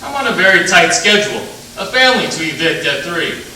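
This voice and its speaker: A man's voice: a little high, big pitch swings, fairly quick, pushed and bright, exaggerated voice. Guy doing mean rich old radio villain, scornful, swoopy delivery, kinda far from the mic. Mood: haughty, impatient. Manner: haughty, scornful. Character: cold, contemptuous rich old miserly businessman. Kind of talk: 1940s radio acting. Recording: roomy stage recording from far off, faint noise.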